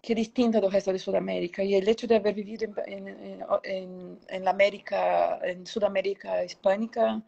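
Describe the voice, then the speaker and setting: Gravelly Brazilian woman thinking aloud. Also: mid-range voice, little pitch movement, fairly quick, few pauses, dark tone. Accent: Brazilian Portuguese. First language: Portuguese. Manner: hesitant, thinking aloud, searching for words. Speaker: interview guest. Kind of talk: casual, reflective interview answers. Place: indoor room, on a video call. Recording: dull, lo-fi video call sound.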